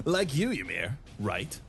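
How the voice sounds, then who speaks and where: Actor as sadistic young hothead soldier, gravelly, swooping delivery, taunting, sci-fi drama. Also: male voice, mid-range voice, heavily acted. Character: cocky, sadistic, hot-tempered young soldier.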